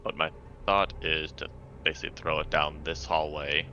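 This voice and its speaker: A man's voice: extremely low pitch, flat delivery, moderate pace, bright, forceful tone. A man playing a tabletop game, talking in a casual, laid-back, matter-of-fact way. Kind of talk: casual in-character game talk. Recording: dull lo-fi mic, faint noise.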